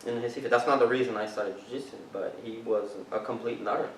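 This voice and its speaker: A man's voice: mid-range voice, fairly even intonation, fast, a little hiss or hum. A man from northeast Brazil with a Brazilian Portuguese accent, talking casually as a jiu-jitsu instructor, rambling with many fillers and restarts. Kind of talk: casual chat. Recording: roomy, distant mic, faint background.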